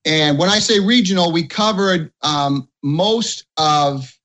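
A middle-aged man, a retired police officer from the Boston area, answering interview questions haltingly with many pauses and ums. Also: a little high, a bit bright.

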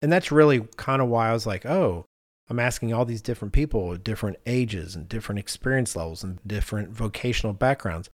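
A man's voice: lowish voice, lively intonation, quick pace, no echo, studio quality. A man hosting a conversational podcast, talking informally and thinking aloud as he goes. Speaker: podcast host.

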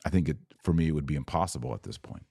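A man's voice: very deep voice, expressive, rapid-fire, gravelly, dark tone, husky, dry recording, studio quality. A man hosting a podcast, talking casually about faith and answering viewers, explaining earnestly in a conversational way with rhetorical questions. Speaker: podcast host. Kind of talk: casual religious talk answering viewer comments.

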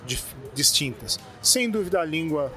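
Brief: Brazilian man, casual guest, thinks aloud with restarts, slightly high pitch, soft music behind. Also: a faint crowd. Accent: Brazilian Portuguese. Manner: relaxed, halting, thinking aloud. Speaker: interview guest. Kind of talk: casual conversation. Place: conference event venue.

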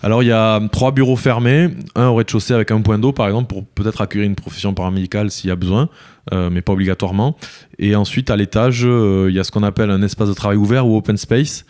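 Male interview guest, smooth and mellow, halting explanatory delivery. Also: lowish voice, steady tone. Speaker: interview guest, village mayor. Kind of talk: conversational interview answers.

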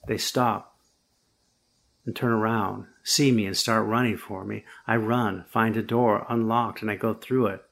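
Gravelly, husky man preaching. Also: on the low side, fairly even intonation, a little slow, a bit bright. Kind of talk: sermon with first-person storytelling.

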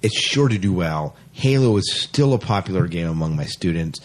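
A man with a very low, rather husky voice co-hosts a podcast. He moves between reading news items and casual chat, offering his opinions with open enthusiasm. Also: expressive, normal speed.

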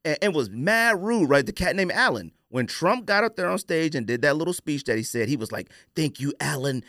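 A man's voice: a little high, very animated, fairly quick, a bit bright, no room sound, studio quality. A man hosting a podcast, telling stories in a casual, colloquial, opinionated way and acting out quoted exchanges. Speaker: podcast host.

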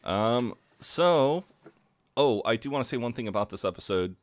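A man's voice: mid-range voice, animated, normal speed, slight background noise. A man co-hosting a podcast in casual chat, swearing freely and laughing at himself, congested. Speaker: podcast co-host.

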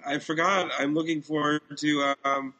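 A man's voice: a little high, little pitch movement, normal speed, bright, forceful tone, poor audio. A man co-hosting a podcast, chatting casually with a laid-back, teasing manner. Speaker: podcast co-host.

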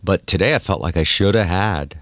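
A man's voice: sits low, fairly quick, fairly bright tone, no room sound, clean studio sound. A man hosting a podcast, telling stories in a casual, informal and self-deprecating way. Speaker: podcast host. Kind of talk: casual chat, storytelling.

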